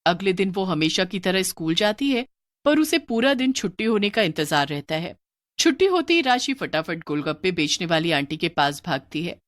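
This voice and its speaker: A woman narrating a scripted horror story in a steady storybook manner. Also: fairly low pitch, normal speed, no room sound, clean studio sound.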